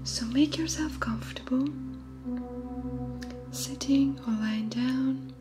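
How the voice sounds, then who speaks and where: Asmr meditation lady, slightly high breathy near whisper, close binaural mic, music playing behind. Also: slow. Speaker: guided meditation teacher. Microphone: close binaural microphone, panning side to side.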